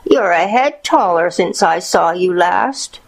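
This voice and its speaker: A woman voicing a hungry, cunning male wolf who feigns a grandmother's sweetness in a children's storybook, coaxing and drawn-out. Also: lowish voice, lively intonation, creaky, exaggerated voice. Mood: sly, coaxing.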